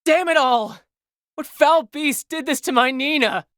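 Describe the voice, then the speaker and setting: An actor playing a gentle, earnest young man who heals villagers in a video game, with polite, well-spoken delivery. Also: very high-pitched, unhurried. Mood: distressed. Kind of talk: video game cutscene acting. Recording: background music under the voice.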